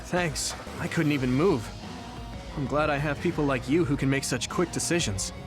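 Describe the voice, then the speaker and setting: An actor playing an earnest, gentle young soldier with psychic powers in a video game cutscene. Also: male voice, on the high side, moderate pace, over music, lightly acted.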